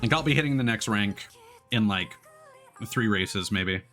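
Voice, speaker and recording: A man's voice: lowish voice, measured pace, fairly bright tone, faint music behind. A man doing casual live gaming commentary, thinking aloud and chatting to his viewers. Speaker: livestreamer, gamer.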